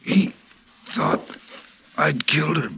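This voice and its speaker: A man's voice: a little high, slow, creaky, breathy edge, exaggerated voice. An actor playing a stabbed, dying man who gasps out his words in broken whispers, in a 1950s radio drama. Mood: gasping. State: wounded, breathless. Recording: muffled vintage radio with hiss.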